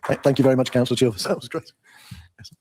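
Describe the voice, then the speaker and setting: A man's voice: mid-range voice, rapid-fire, a little mellow, slightly rough. A man chairing a formal meeting, politely and procedurally, with some hesitancy. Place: council chamber.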